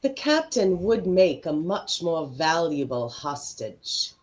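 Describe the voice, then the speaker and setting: Woman as a slow, sneering alien warrior villainess. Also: low-pitched, big pitch swings, full character voice. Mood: scheming. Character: haughty, scornful female Klingon warrior villain. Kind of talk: amateur radio theatre script read.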